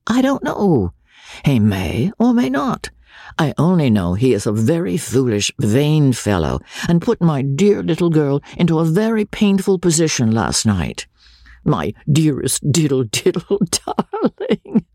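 A cocky young officer full of mocking banter, laughing at everyone's expense, as a man puts him on for a storybook reading. The voice sits high and airy, with a husky edge. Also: huge pitch swings, unhurried, dark tone, no echo, clean studio sound, exaggerated voice. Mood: teasing. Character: young male army officer, arrogant and teasing.

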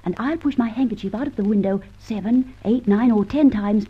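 A woman's voice: fairly high pitch, a little fast, husky. An actress playing a plucky young captive lady, game for anything, in an old radio adventure. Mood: eager. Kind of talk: old radio drama acting. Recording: muffled old radio recording.

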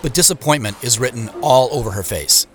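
A man's voice: medium pitch, brisk, a bit bright, slightly rough. An actor playing a reflective man who narrates his own story in scripted present-tense audio drama narration. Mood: reflective, observant. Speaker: first-person narrator.

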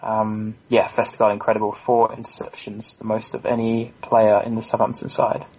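A man's voice: on the low side, completely flat delivery, moderate pace, a little mellow, breathy edge. A man on a football podcast panel, talking casually and earnestly in an analytical way. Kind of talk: casual sports discussion. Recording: dull, lo-fi voice recording.

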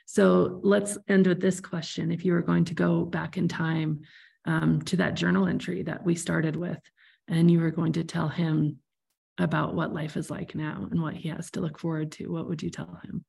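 A woman with a low, very creaky voice hosts a conversational podcast interview. She sounds husky and speaks in a reflective, appreciative manner. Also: little pitch movement, moderate pace, mellow. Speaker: podcast host.

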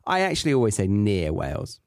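A man's voice: lowish voice, huge pitch swings, fairly quick, a little mellow, dry recording, clean studio sound. A man from England hosting a podcast, chatting casually in a playful, jokey way. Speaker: podcast host. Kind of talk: casual chat.

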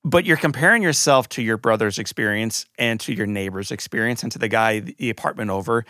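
An interview host summing up the guest's story and asking follow-up questions in a reflective, measured way. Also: medium pitch, bright, forceful tone, no room sound, studio quality. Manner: reflective, summarizing back to the guest. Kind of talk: interview conversation.

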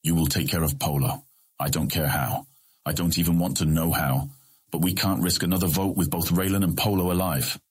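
A man's voice: deep voice, steady tone, fairly quick. A man voicing a menacing, hot-tempered guild leader in an audiobook, commanding and bitingly sarcastic, with a grating voice. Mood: cold menace. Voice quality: gravelly, grating.

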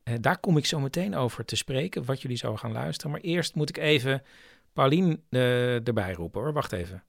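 A man's voice: medium pitch, lively intonation, a little fast, creaky, no echo, studio quality. A man hosting a podcast in casual, chatty speech. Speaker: podcast host. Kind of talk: casual chat.

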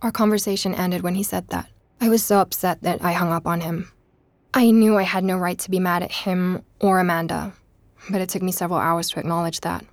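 An actress playing a guilt-ridden young woman podcaster, narrating an audio drama as an intimate, halting, reflective confession. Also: fairly low pitch, fairly even intonation, dry recording, natural voice. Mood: remorseful. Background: music.

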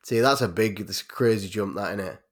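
A man's voice: fairly low pitch, fairly quick. An English man in his late thirties chatting casually on a podcast, rambling and swearing a lot, laughing often. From England. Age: 30s. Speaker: podcast host. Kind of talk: casual chat.

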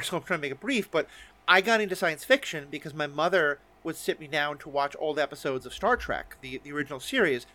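A man hosts a relaxed interview show. His voice sits a little higher than most men's. He speaks earnestly and at length, often doubling back as he goes. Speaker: podcast host interviewing guests. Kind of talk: casual conversational interview.

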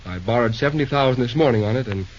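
An actor playing an earnest, awkward, plainspoken young railroad man in old-time radio drama, halting and sheepish, on an old recording. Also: mid-range voice, muffled recording, slight background noise, natural voice.

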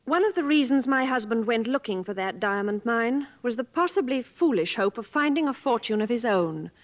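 An actress playing a proud, strong-willed, wealthy woman in a 1950s radio drama, with a crisp, formal, challenging manner. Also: fairly high pitch, lively intonation, normal speed, long reverb tail, close to an everyday voice. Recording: muffled old radio broadcast.

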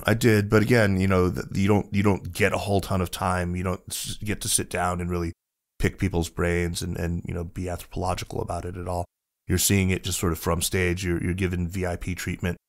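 A man's voice: low-pitched, little pitch movement, brisk. A man speaking as a podcast guest in casual conversation, reflective, with frequent restarts and fillers.

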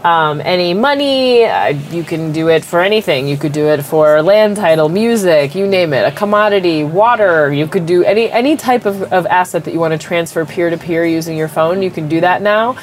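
A woman's voice: lowish voice, fairly quick, few pauses, slight background noise. Stuffy-nosed woman explaining tech. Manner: explains with everyday analogies, frequent ums. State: stuffed up from a cold. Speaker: technology company executive guest. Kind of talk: explanatory interview answers.

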